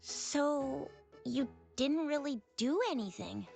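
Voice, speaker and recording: A lively young woman companion in a video game. The voice is high and the delivery casual, blunt and teasing, with faint music behind it. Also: takes it slowly, creaky, full character voice. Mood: puzzled. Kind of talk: video game dialogue acting.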